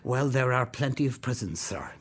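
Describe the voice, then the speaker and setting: A courteous, genteel gentleman, played by an Irish-accented man, pleads for charity in this radio play. His slightly husky voice rises and falls expressively, and his phrases trail off softly at the ends. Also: medium pitch, lively intonation, moderate pace, natural voice. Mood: earnest. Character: polite, genteel male charity collector, courteous.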